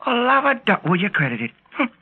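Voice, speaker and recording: A man's voice: high voice, sing-song, brisk, breathy edge, full character voice. A man playing a plucky, cheeky Cockney in a radio serial, chirpy and full of slangy exclamations, unimpressed by threats. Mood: excited, chirpy. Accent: English Cockney. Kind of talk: vintage radio serial acting. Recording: dull, band-limited old radio recording.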